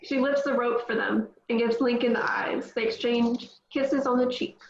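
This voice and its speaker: A woman reading the stage directions aloud at a table read, in a brisk, matter-of-fact way. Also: a little high, fairly even intonation, reverberant, dull audio, slight background noise.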